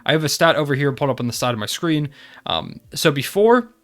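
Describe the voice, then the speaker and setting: Young male sports vlogger, quick and eager, nasal from a cold. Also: on the high side, very animated, a bit bright, soft background music. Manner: enthusiastic, fan-like. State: congested from a cold. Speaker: basketball channel host. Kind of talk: casual video recap.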